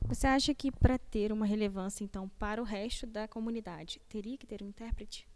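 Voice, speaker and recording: A woman's voice: medium pitch, brisk, a little mellow, slight background noise. A Brazilian woman interviewing, putting short, direct questions. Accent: Brazilian Portuguese. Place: church hall. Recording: long hall reverb.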